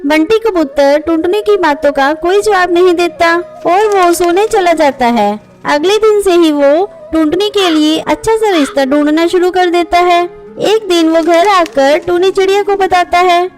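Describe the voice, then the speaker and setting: A woman's voice: very high voice, unhurried, soft background music. A woman narrating a scripted children's moral story as its storyteller.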